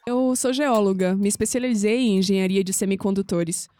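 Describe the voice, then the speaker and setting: Woman acting matter-of-fact young Brazilian scientist, mellow voice. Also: mid-range voice, fairly even intonation, fairly quick, close to an everyday voice. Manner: matter-of-fact, dismissive of mysticism. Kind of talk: audio drama acting.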